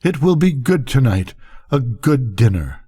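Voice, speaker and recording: A proud, tense ranch wife from a storybook, read by an older man. She is insistent and wistful, in a soft-edged husky voice that swings widely in pitch. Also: sing-song, a little slow. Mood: forced brightness.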